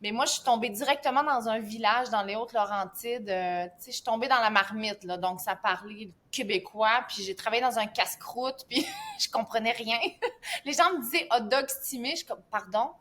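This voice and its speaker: A woman's voice: on the high side, moderate pace. A woman from southern France, a guest health coach, telling her story in a casual podcast, with frequent laughs and fillers. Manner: warm storytelling, laughs often, many fillers.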